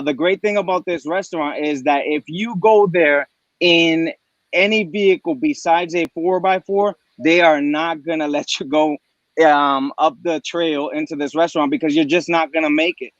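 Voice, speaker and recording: A man's voice: a little high, fairly even intonation, moderate pace, no room sound. Eager man on a muffled call. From Puerto Rico. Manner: eager, rambling, lots of restarts. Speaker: guest. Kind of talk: casual chat. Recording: dry muffled video call audio.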